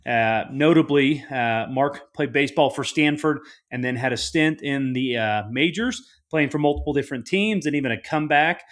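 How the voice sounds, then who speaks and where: A man's voice: mid-range voice, normal speed, hardly any pauses, a bit bright. A man hosting a podcast in a casual, conversational interview style, with a jovial, self-deprecating manner. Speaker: podcast host.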